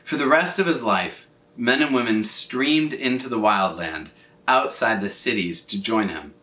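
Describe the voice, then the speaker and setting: A man narrates a story for children with very lively, varied intonation. His voice is bright and clear-cut, and he stretches words for dramatic effect. The recording sounds echoey, as if he is standing back from the microphone in a room. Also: mid-range voice, a little slow. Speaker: storyteller reading aloud for children. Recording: roomy echo, distant from mic.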